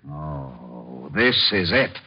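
An American actor playing a gruff, bossy, long-suffering police lieutenant with blustering sarcasm in vintage radio drama style. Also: male voice, extremely low pitch, sing-song, extremely slow, exaggerated voice. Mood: exasperated. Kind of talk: late 1940s to early 1950s radio acting. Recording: muffled, noisy vintage radio recording.

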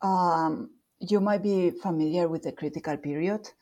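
A woman's voice: fairly low pitch, normal speed, a little mellow. A woman with a Spanish accent, a researcher being interviewed, explaining her work thoughtfully with fillers and restarts. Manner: thoughtful, with fillers and self-corrections. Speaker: guest researcher.

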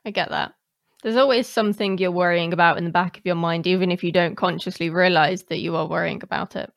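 A woman's voice: on the low side, fairly even intonation, fast talker. A woman hosting a podcast in a casual, conversational interview style. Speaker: podcast host.

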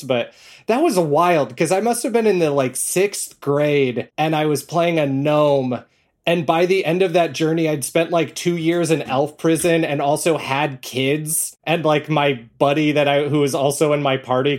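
A man telling stories casually as a podcast guest, animatedly acting out bits of remembered dialogue. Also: on the high side. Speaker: podcast guest, audio drama creator. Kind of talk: casual interview storytelling.

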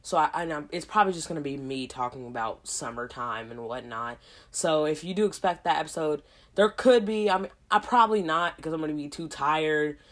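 A woman hosting a casual solo podcast, hoarse from a cold, rambling in a slangy way with lots of asides. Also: low voice, fairly quick. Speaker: podcast host.

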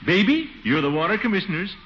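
An actor playing a pompous, fussy middle-aged uncle in a 1940s radio comedy, blustery and soft-hearted. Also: male voice, high-pitched, very animated. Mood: doting, chuckling. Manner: theatrical, blustery, drawn-out words and chuckles. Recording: noisy, muffled 1940s radio broadcast.

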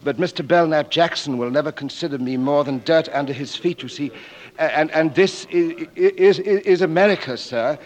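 An actor in a 1940s radio drama playing a dignified, deferential English manservant, speaking formally and politely with some hesitation in an English accent. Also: male voice, a little high, moderate pace, lightly acted. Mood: earnest.

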